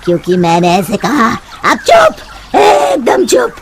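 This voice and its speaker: A woman's voice: a little high, wildly swooping pitch, slow, very noisy, exaggerated voice. A woman voicing a haughty, menacing river witch in a cartoon, imperious and taunting. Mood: bossy, irritated. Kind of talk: Hindi cartoon voice acting.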